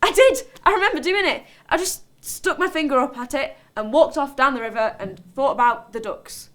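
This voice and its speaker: A woman's voice: high voice, lively intonation, moderate pace, a bit bright. A woman playing a volatile, defensive character in a dramatic monologue, blunt and colloquial. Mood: angry, defiant. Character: volatile, defensive, sweary woman recounting her outburst. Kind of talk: dramatic monologue, conversational storytelling.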